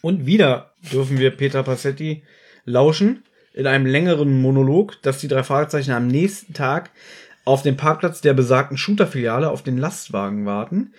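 A man's voice: medium pitch, moderate pace. A man co-hosting a podcast, casually discussing an audio drama with friends in a bantering way. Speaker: podcast co-host.

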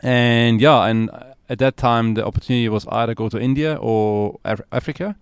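A man from Austria in his forties, a podcast guest and entrepreneur, talking in a relaxed, hesitant way with many fillers. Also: medium pitch, normal speed, smooth, dry recording. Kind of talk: casual interview conversation.

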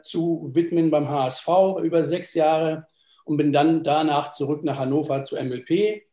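A man's voice: fairly high pitch, dark tone. A man from East Frisia working as a financial advisor, describing his career in a matter-of-fact way. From East Frisia, Germany. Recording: echoey room.

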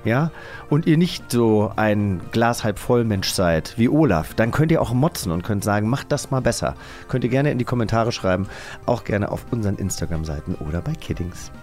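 A man's voice: lowish voice, lively intonation, normal speed, a little mellow, faint music behind. A man co-hosting a podcast in a casual, jokey and somewhat rambling way. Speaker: podcast co-host.

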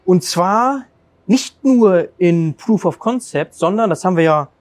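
A male podcast host asking upbeat, quick summarizing questions in an interview. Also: sits high, animated, darkish tone, no room sound.

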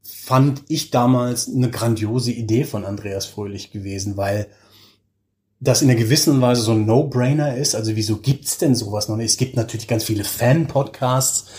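A man's voice: medium pitch, moderate pace, a little mellow. Enthusiastic man chatting in an interview. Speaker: interview guest, podcaster and audio drama creator. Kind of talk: casual interview chat.

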